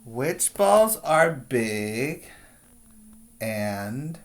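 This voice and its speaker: A male preschool teacher reads a children's book aloud at a very slow pace. His voice sits at a medium pitch. He phrases each line deliberately, in an instructional way, as if guiding young children through the pages. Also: very animated. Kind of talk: read-aloud for young children with explanatory asides.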